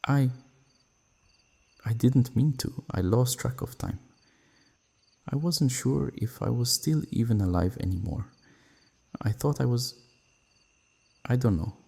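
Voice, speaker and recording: An actor playing a guilt-ridden, grieving vampire in an audio roleplay, speaking in a halting, sorrowful, confessional way. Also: male voice, medium pitch, creaky, dark tone, slightly rough, heavily acted. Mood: hurt, bewildered. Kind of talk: dramatic audio roleplay acting.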